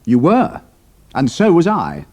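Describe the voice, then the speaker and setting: An actor playing a cool, respectful officer spy in an audio drama, speaking in a measured, firm, deferential way. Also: male voice, on the high side, huge pitch swings, a little mellow, heavily acted. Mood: cool, triumphant.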